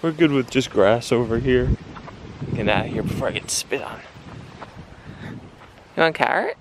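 A man's voice: medium pitch, moderate pace, background noise. A young man casually vlogging outdoors on a handheld camera, chatting playfully to animals as he walks. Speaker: sailing vlogger. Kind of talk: casual travel vlog. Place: outdoors on an island farm and beach. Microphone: handheld action camera.

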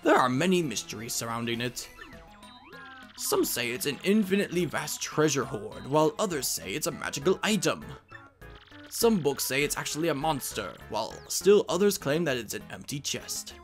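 Guy doing a fussy old professor from a video game, high lively voice, theatrical, music behind. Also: normal speed. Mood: scholarly, earnest. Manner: formal, old-fashioned phrasing with theatrical exclamations. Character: excitable old male bookish professor, scholarly and fussy.

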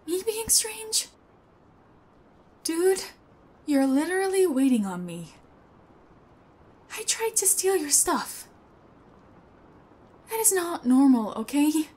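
A woman's voice: very high voice, sing-song, takes it slowly, pauses often, darkish tone, heavily acted. A young woman playing a nervous, chatty, strange young female thief in an intimate ASMR roleplay, with a hesitant, rambling, awkward delivery. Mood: anxious, pleading.

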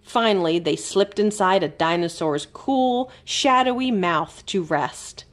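A woman storyteller reading a children's book aloud expressively, with careful, dramatic emphasis and pauses. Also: mid-range voice. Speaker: library storyteller.

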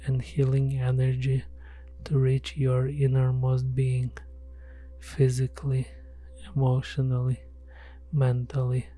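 A man leading a scripted guided meditation in a soothing, gentle, measured way. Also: mid-range voice. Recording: soft music behind the voice. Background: background music.

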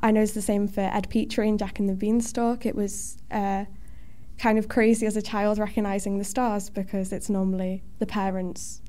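A woman's voice: medium pitch, dark tone. A young woman with a Liverpool Scouse accent, chatting casually as a student guest in an interview. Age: young adult.

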